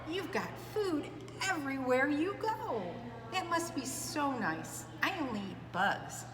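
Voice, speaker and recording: A woman's voice: sits high, very animated, takes it slowly, a crowd in the background, voice pushed up, full character voice. A woman voicing a tiny, cute female bush baby in a storybook, speaking earnestly and expressively. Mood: earnest, wistful. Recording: echoey reverberant room, clear background noise.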